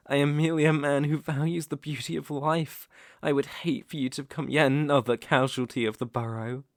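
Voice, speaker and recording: A man with a British accent playing a smooth-talking, sinister werewolf posing as a protective gentleman, speaking formally and measuredly in an ASMR roleplay. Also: a little high, fairly even intonation, dry recording, studio quality, lightly acted. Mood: courtly, sympathetic. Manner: courtly, formal, measured, insinuating. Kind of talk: ASMR roleplay audio acting.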